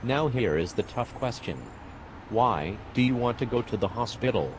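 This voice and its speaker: A man voicing a tired, sarcastic male fire medic in an amateur animated comedy, speaking dryly and with exasperation. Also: mid-range voice, normal speed, noisy background, natural voice.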